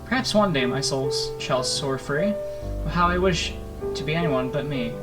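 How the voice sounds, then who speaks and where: Guy with kinda high voice reading a visual novel out loud, dramatic and playful, game music loud behind him. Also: expressive, moderate pace. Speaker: gaming video host reading a visual novel aloud. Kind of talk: expressive storybook-like narration with casual asides. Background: plucked guitar game music.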